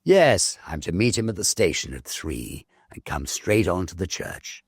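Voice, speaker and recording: A British man voicing a gentle, trusting young bride-to-be in a story reading, earnest and soft-hearted. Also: deep voice, very animated, unhurried, breathy edge, dry recording, clean studio sound, exaggerated voice. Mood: hopeful.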